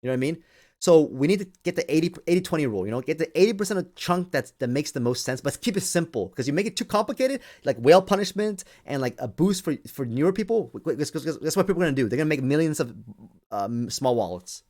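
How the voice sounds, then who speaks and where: A man's voice: a little high, expressive, fast, darkish tone. A man hosting a livestream with casual live commentary, speaking in repetitive, stop-and-start phrases and often addressing his listeners directly. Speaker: livestream host.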